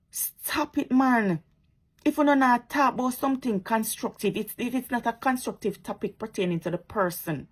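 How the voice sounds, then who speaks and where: A Jamaican woman lectures her followers with fervor, circling back to the same points again and again and slipping into sarcasm. Her voice is husky and slightly breathy, sitting at an ordinary middle pitch. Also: darkish tone. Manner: preachy, repetitive and sarcastic. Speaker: social media commentator. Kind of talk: impassioned commentary to viewers.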